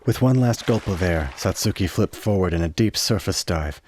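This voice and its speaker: A man narrating a scripted radio play in a measured, literary storytelling manner. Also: low voice, lively intonation, a little mellow, a little hiss or hum.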